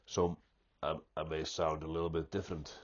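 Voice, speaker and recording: A man with a stuffy nose giving an unscripted, reflective monologue, speaking deliberately and often restarting his sentences. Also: very deep voice, level tone, lo-fi sound. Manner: slow, deliberate, thoughtful, with frequent restarts. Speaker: pipe-smoking vlogger.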